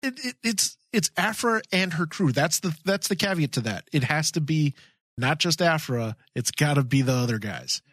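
Male podcast co-host, slightly raised pitch, casual, emphatic fan enthusiasm, dry recording. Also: lively intonation, normal speed, a bit bright, no room sound.

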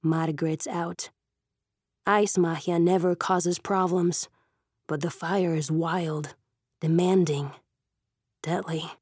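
Female narrator for adventure fiction: husky, low-leaning, measured, intense and dramatic. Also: steady tone, darkish tone, no echo, studio quality. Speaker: audiobook narrator. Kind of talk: dramatic scripted audiobook narration.

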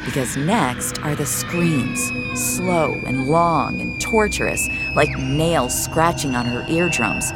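Low-voiced lady reading a suspense story, takes it slow, music clearly under her. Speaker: narrator. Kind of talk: dramatic scripted audio fiction narration.

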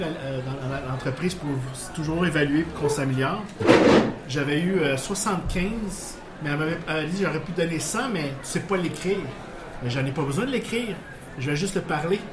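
Man in an echoey bar, Quebec French. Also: a little high. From Portuguese background, living in Quebec. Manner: rambling, many restarts, chuckling. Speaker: guest. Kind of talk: casual storytelling interview. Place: bar with live audience. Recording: echoey bar with background noise.

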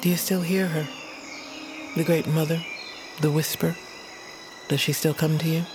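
A woman playing an old, cranky but wise grandmother in a fantasy audio drama, speaking in a measured, solemn, poetic way. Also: deep voice, fairly even intonation, background noise, natural voice. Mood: solemn, commanding. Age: older.

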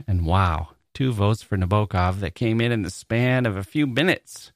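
A man's voice: fairly low pitch, dry recording, clean studio sound. A man hosting a literary podcast solo, talking conversationally and reflectively with informal asides. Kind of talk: conversational solo podcast talk, reading listener mail.

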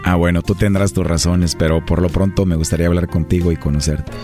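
A man's voice: low voice, fairly even intonation, fast talker, dark tone, faint music behind. A man with a Mexican accent, making a teasing, flirtatious prank phone call on a radio show.

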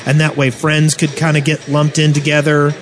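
Higher-pitched man in casual podcast chat. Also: completely flat delivery, moderate pace, soft background music. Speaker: podcast co-host. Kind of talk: casual group discussion. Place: indoors at a LAN party.

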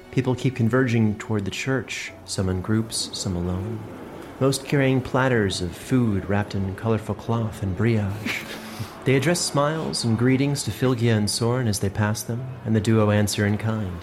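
Male storyteller over soft music. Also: fairly low pitch, normal speed. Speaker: narrator. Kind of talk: scripted fantasy story narration.

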